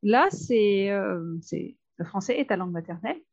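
A woman's voice: medium pitch, animated, moderate pace, a little mellow. A woman interviewing a guest, curious and rephrasing her questions as she goes. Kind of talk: conversational interview. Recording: dull lo-fi sound.

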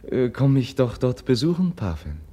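An actor in a 1950s radio play voicing a gentle, earnest young prince who asks sincere questions. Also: male voice, mid-range voice, sing-song, normal speed. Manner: soft, sincere, searching questions. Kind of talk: 1950s radio drama acting. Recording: old radio play, husky tone.

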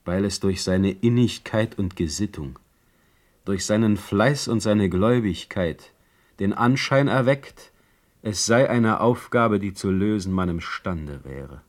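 A man's voice: on the low side, measured pace, close to an everyday voice. A man playing a sardonic, imperious German poet in exile for a radio play, declaiming his words. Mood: bitter irony. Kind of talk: radio play acting and letter reading.

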